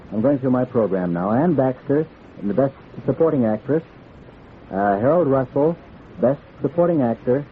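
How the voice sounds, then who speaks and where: A man working as an announcer on a live 1940s radio broadcast, speaking in a sign-off style. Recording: vintage radio broadcast, narrow band, hissy rumble.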